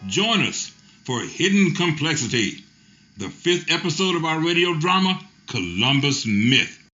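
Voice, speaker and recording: A man giving scripted narration for a radio drama, recapping the story in a measured, storytelling manner. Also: on the high side, very animated, pushed and bright. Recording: dull lo-fi recording with music underneath.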